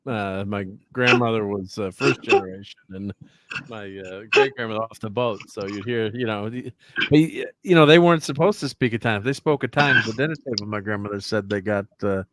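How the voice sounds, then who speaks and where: A man's voice: lowish voice, fairly quick, very noisy. A man in casual chat as host, rambling with many fillers and restarts.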